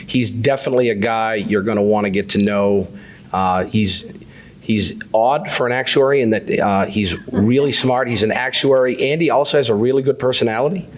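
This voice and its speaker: A man's voice: on the low side, normal speed, a crowd in the background. A man with a cold giving affable, self-deprecating town hall remarks with frequent "uh" fillers. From New Hampshire. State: has a cold. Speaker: state insurance commissioner.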